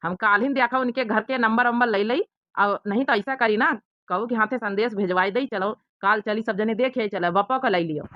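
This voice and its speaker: A woman's voice: fairly high pitch, moderate pace, no room sound, lo-fi sound, natural voice. A woman voicing an eager, lovestruck young village bachelor with an Awadhi accent in a comedy cartoon. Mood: excited, eager.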